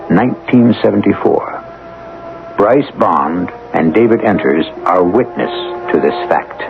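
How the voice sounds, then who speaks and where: Low gravelly male narrator, suspenseful and deliberate, for vintage radio mystery, music underneath. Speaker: radio drama host and narrator. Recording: phone-like 1970s radio recording. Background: prominent dramatic music.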